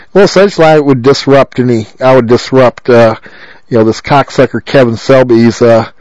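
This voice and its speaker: Man, loud voice, rambling and repetitive, political candidate, fairly high pitch. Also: no room sound, poor audio. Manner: rambling, repetitive, with many fillers. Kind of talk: rambling monologue.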